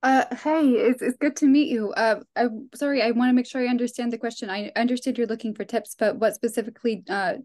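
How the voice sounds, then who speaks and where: A woman guest speaker wrapping up a webinar talk in a friendly, clear way and taking questions over a video call. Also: on the high side, steady tone, a little fast, hardly any pauses, darkish tone.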